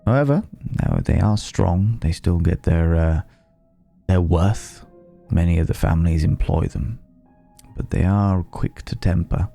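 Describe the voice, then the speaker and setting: A man playing a suave, ancient vampire club owner in an intimate ASMR roleplay, speaking in a genteel, quietly menacing way and savouring his pauses. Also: deep voice, gravelly, soft background music, exaggerated voice. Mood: wry, confiding. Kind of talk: ASMR roleplay, intimate second-person acting.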